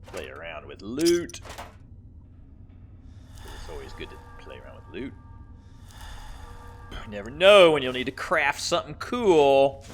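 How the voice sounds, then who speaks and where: A man's voice: medium pitch, very animated, measured pace, long pauses, faint music behind. A man giving casual, rambling commentary as he plays a video game, thinking aloud. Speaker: gaming video host.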